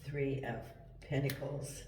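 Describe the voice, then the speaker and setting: A man with a cold gives a chatty, meandering tarot reading at home, his voice croaky. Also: mid-range voice, little pitch movement, takes it slowly, far from the mic. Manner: chatty, meandering, with many ums. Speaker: tarot reader. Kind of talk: casual tarot reading to camera. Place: home in Portugal. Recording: echoey room, voice set back from the mic, faint hiss.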